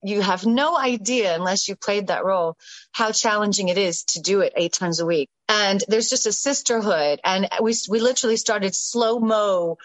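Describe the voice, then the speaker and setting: Woman guest with a Southern-British lilt, lively storyteller, mid pitch, dry close recording. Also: normal speed, no room sound, low-quality recording. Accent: mixed Southern US and British. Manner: animated, laughing, many restarts and asides. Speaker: interview guest, stage actress and singer. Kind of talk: casual storytelling in a podcast interview.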